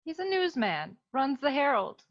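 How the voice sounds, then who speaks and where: A melodramatic young damsel in distress, played by a woman for a spoof noir radio serial. The voice sits fairly high and speaks with sweet, earnest theatricality. The recording is a little dull and lo-fi. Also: very animated, moderate pace. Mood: matter-of-fact. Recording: slightly dull, lo-fi microphone.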